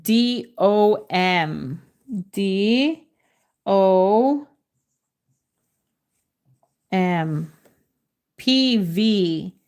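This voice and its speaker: Brief: unhurried woman livestreamer, middle pitch, drawn-out casual delivery, reads letters aloud to chat. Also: expressive, a little mellow, smooth. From United States. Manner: slow, drawn-out letter reading, switches into Spanish. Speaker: livestream host running a word game. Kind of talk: casual live chat with viewers.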